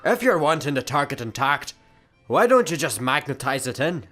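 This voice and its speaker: A man's voice: on the high side, big pitch swings, normal speed, a bit bright, soft background music. A man playing a menacing yet matter-of-fact space pirate with a grandiose, announcing manner in a comedy radio play.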